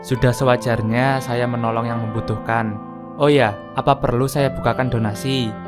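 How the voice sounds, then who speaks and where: A man voicing a polite, formal charity foundation owner in a cartoon drama, speaking in a measured way. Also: mid-range voice, fairly even intonation, over music, close to an everyday voice. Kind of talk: animated cartoon voice acting.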